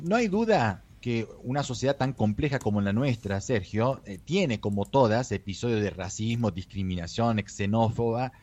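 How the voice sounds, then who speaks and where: A man's voice: lowish voice, darkish tone. Argentine man with Italian-tinged accent. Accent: Argentine Spanish with Italian touches. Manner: measured, explanatory, tags sentences with rhetorical checks. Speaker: correspondent in Europe. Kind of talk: phone-in radio commentary.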